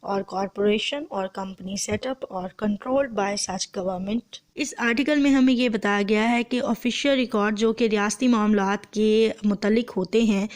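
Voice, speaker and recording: A woman's voice: mid-range voice, steady tone, a little slow, hardly any pauses. A woman with a Pakistani accent giving an explanatory law lecture, methodical and didactic, translating formal text phrase by phrase and restating each point.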